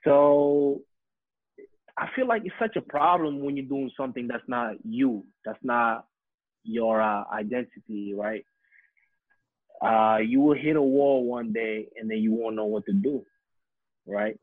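A man's voice: medium pitch, measured pace, pauses often, no room sound. A young man from Senegal, a music producer, answering interview questions with many fillers and hesitations. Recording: phone-like narrow remote line.

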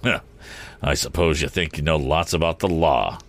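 A man's voice: extremely low pitch, normal speed, fairly bright tone. A man voicing a sneering, vindictive villain in a storybook, a hot-tempered and menacing bully. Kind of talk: audiobook character acting.

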